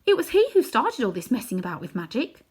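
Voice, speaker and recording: A woman's voice: a little high, huge pitch swings, fast, a little mellow, pitched above the performer's own voice, exaggerated voice. Woman voicing a prim sarcastic young girl. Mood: cool sarcastic. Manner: crisp, sarcastic.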